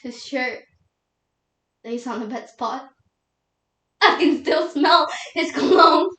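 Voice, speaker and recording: A woman performs a young widow lost in grief and doubt. Her voice is high and emotional, with halting, pleading delivery. It is a solo dramatic monologue, heard in a reverberant room. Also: bright, forceful tone, heavily acted. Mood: tearful grief. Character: grieving young widow, tearful and doubting God. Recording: echoey room.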